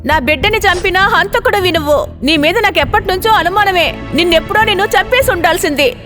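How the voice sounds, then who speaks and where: A woman voicing a protective village mother in an animated story, speaking with strong emotion. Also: extremely high pitch, a little fast. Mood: furious. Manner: emphatic, emotional delivery. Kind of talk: cartoon voice acting. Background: faint background music.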